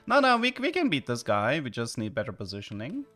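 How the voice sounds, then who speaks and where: A man from southern Germany with a German accent, a streamer chatting with viewers and commenting on his game, with sudden excited outbursts. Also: medium pitch, brisk, soft background music.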